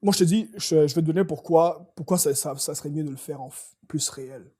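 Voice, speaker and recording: A young man with a Quebec French accent, talking in a husky, mellow voice that sits a bit high for a man. He tells stories in an animated way, sprinkling English slang through his French in a casual podcast chat. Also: fairly quick. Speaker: podcast co-host.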